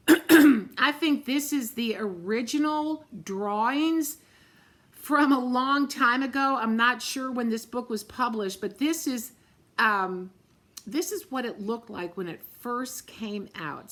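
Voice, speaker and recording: Teacher type reading to kids; a higher-than-average woman's voice, gentle, clears her throat now and then. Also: slow pace. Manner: gentle, pointing out the pictures as she reads. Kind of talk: storytime read-aloud to children.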